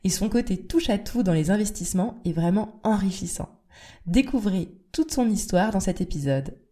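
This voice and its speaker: A woman's voice: medium pitch, expressive, moderate pace, mellow. A woman hosting a podcast interview, reading a scripted intro then chatting in a friendly way with fillers. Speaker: podcast host.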